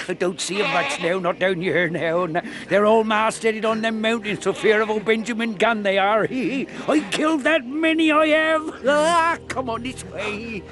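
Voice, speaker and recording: An actor playing a half-crazed, wily marooned pirate in a radio drama, a cackle and rambling, repetitive rustic speech. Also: male voice, a little slow, fairly bright tone. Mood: excited, cackling. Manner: rambling, repeats himself, abrupt swings in pitch.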